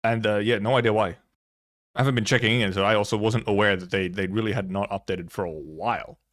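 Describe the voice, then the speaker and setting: A man live streaming in casual chat, rambling and haltingly thinking aloud, with some offhand swearing. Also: lowish voice, steady tone, a bit bright. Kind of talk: casual chat, reacting to viewers.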